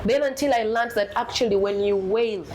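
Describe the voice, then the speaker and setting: Strong-voiced woman speaking with deep feeling. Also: moderate pace, crowd noise. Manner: emphatic and heartfelt, repeating words when moved. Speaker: interview guest. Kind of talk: candid conversational interview.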